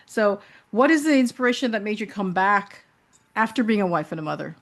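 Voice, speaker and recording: A woman's voice: mid-range voice, animated, fairly quick. A woman hosting an interview show, introducing her guest in a chatty way with many fillers and restarts. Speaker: talk show host.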